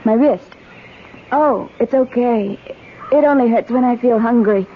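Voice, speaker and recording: A woman's voice: a little high, unhurried, natural voice. A childlike, feverish wife with a volatile, ravenous craving as a woman acts her out, in old radio drama style. The sound is dull, with faint noise behind her voice. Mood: dreamy, coaxing. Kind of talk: vintage radio drama acting. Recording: dull, noisy old radio broadcast recording.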